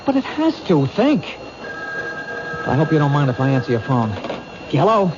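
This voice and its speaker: A man's voice: a little high, sing-song, measured pace. A man playing an earnest, dogged police detective in 1970s radio drama, speaking with urgent insistence. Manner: urgent, insistent, reasoning things out aloud. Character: earnest, dogged male police detective protecting a threatened woman. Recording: noisy, dull 1970s radio recording.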